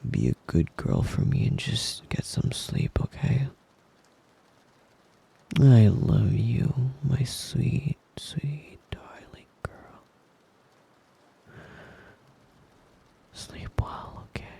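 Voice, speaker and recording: A man playing a devoted, possessive young wolf-boy lover in an intimate ASMR roleplay, tender and breathy, often slipping into a whisper. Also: medium pitch, expressive, dark tone, exaggerated voice. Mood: soothing, sleepy. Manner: tender, doting, slow and lingering with pet names. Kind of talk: intimate ASMR roleplay, soothing bedtime murmur.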